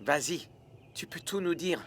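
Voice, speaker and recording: An actor playing a concerned, supportive young footballer, earnest and questioning, in French cartoon dubbing. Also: male voice, a little high, lively intonation, unhurried, a bit bright.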